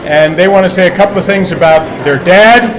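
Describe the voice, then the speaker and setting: High-voiced man hosting before a crowd. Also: a little fast, rough recording. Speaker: event host introducing speakers. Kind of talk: informal stage introduction. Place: live event before an audience. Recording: live event with audience noise.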